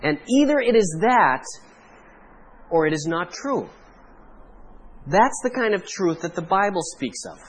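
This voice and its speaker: Canadian pastor preaching, high voice. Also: male voice, very animated. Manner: measured preaching with emphatic pauses. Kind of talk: sermon.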